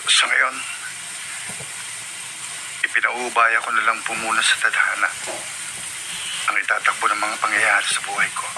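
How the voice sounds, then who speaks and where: A man's voice: on the low side, completely flat delivery, moderate pace, pauses often, natural voice. An actor playing a troubled, humble younger man in a Filipino radio drama, solemn, respectful and hesitant. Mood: resigned, solemn. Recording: noisy radio broadcast.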